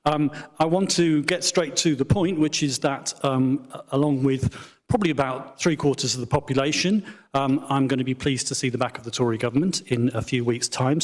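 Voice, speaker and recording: A middle-aged man gives his opening statement as a candidate in a theatre. His voice is mid-pitched, his delivery is measured and direct, and a long hall reverb trails his words. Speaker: parliamentary candidate. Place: theatre with audience.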